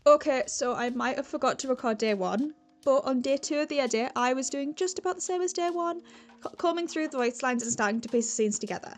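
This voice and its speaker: A woman's voice: high voice, far from the mic. A woman talking in a casual, chatty vlog style as host, rambling and often interrupting herself. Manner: rambling, halting, self-interrupting. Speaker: video creator and host. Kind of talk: casual chatty vlog about audio production.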